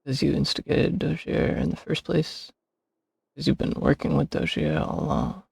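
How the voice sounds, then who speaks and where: A man giving casual playthrough commentary as a game streamer, with a gravelly, husky voice. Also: a little high, fairly even intonation, normal speed, a little mellow.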